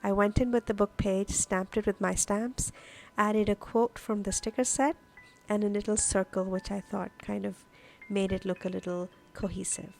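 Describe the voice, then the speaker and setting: A woman's voice: medium pitch, flat delivery, moderate pace, gravelly, a little mellow. A woman whose native language is Urdu, giving a casual, explanatory voice-over as a craft vlogger walking through her journal pages. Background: music.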